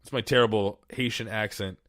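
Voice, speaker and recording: A man's voice: on the low side, steady tone, fairly quick, fairly bright tone, dry recording, clean studio sound. Casual profane male podcast host. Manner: casual, profane, rambling with filler words. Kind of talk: solo podcast monologue, reading aloud and riffing. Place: small studio.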